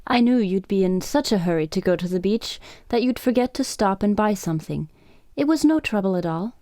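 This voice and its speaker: Woman acting a gracious, mellow-voiced innkeeper. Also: mid-range voice, expressive, no echo, studio quality, lightly acted. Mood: attentive. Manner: courteous, measured, formal. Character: gracious, attentive Japanese innkeeper woman, formally polite. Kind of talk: radio play acting.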